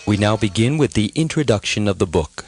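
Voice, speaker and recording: A man reading a scripted audiobook narration in a measured, reverent, formal manner. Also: fairly low pitch, lively intonation. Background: music.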